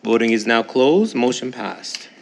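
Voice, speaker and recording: A man's voice: medium pitch, creaky, a little hiss or hum. Man chairing a council meeting. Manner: relaxed, joking, brisk procedural reading. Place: council chamber.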